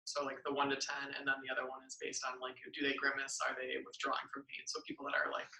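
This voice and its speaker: A man's voice: medium pitch, monotone, gravelly, sharp tone, far from the mic. A man who works as an occupational therapist, chatting casually in a group discussion with lots of "like"s. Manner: fast, filler-heavy talk with many "like"s. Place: room at an in-person journal club. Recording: roomy sound, away from the mic.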